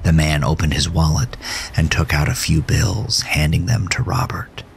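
A man's voice: very deep voice, level tone, moderate pace, vocal fry, slight background noise. A man giving dramatic scripted horror narration in an ominous, theatrical manner. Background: music.

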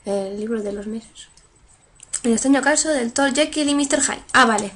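Young woman, high voice, slow and giggly gameplay chatter with faint keyboard noise. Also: a bit bright. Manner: giggly, reads game text aloud, squeals when startled. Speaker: let's play gamer. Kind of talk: casual gameplay commentary.

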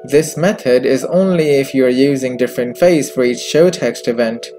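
A man presenting a software tutorial in scripted narration, recorded as a close voice-over with music behind him. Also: mid-range voice, normal speed. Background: background music.

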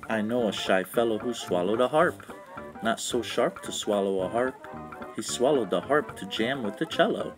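A man's voice: on the low side, expressive, normal speed, low-quality recording. A man reading a children's storybook aloud in a rhythmic, sing-song way. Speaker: children's book read-aloud narrator. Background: instrumental music.

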